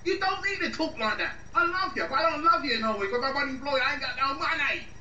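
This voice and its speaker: A man's voice: very high voice, quick pace, bright, forceful tone, heavily acted. A man with a British accent acting a defensive, unemployed layabout boyfriend in an amateur radio drama, grumbling in colloquial phrases. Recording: roomy, distant, muffled, noisy recording.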